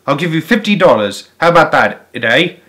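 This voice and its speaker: A man voicing a sly tall man who quietly tries to talk a boy into a deal. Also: a little high, lively intonation, moderate pace, pitched above the performer's own voice. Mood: coaxing. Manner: coaxing, hushed. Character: sly tall man making a sneaky offer.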